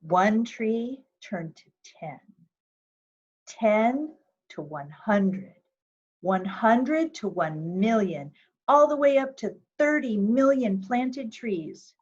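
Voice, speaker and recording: A woman reading a picture book aloud for children, with measured, expressive storytelling phrasing. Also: on the high side, breathy edge, lo-fi sound. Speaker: volunteer reader of a children's book.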